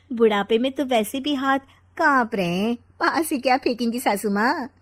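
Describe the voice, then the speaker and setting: A woman's voice: high-pitched, expressive, a little slow, a bit bright, exaggerated voice. A woman voicing a cheeky, confident young daughter-in-law in a comic family story, perky and teasing. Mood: cocky.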